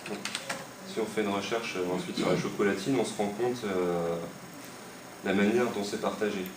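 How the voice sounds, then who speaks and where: A young man from western France talks casually, pausing often and restarting his sentences. His voice sits very low. He is recorded in an echoey room from some distance, with steady noise behind him. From Maine-et-Loire, France. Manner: hesitant, many fillers and restarts. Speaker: website creator interviewed. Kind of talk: casual spoken explanation. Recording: echoey room, mic far off, noisy.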